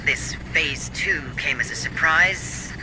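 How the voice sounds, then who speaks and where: An actor playing a polite but condescending corporate director in a sci-fi video game, speaking formally. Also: male voice, fairly high pitch, slow, music in the background. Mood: smug, grave. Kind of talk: cinematic video game acting.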